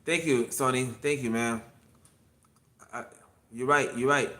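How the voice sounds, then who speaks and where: A fifty-two-year-old man talks off the cuff on a solo livestream from his clinic. His voice sits at an ordinary middle pitch. He rambles, circles back, and repeats lines as he thanks viewers in the chat. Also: fairly even intonation, unhurried, sharp tone. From Miami. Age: 50s. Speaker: acupuncture physician and livestream host.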